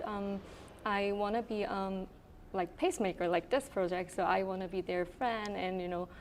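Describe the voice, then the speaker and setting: A woman's voice: medium pitch, a little mellow, slight background noise. A woman in her thirties from South Korea with a Korean accent, a medical resident answering interview questions thoughtfully with many ums. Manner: thoughtful, with frequent ums and you-knows.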